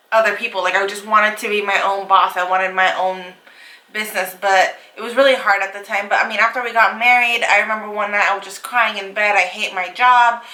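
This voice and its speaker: Bright-voiced woman in her twenties, echoey room. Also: mid-range voice, recorded from a distance. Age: 20s. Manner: chatty, laughs often, many fillers. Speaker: makeup artist and channel co-host. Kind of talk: casual couple Q&A chat.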